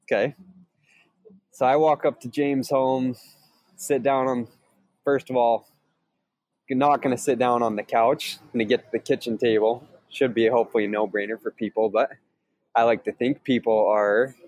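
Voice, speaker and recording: Upbeat, energetic man talking shop. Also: mid-range voice, normal speed, long pauses, no echo. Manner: upbeat, energetic, laughs easily. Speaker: podcast co-host, sales trainer. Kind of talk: casual chat and explaining. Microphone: remote call, each on own mic.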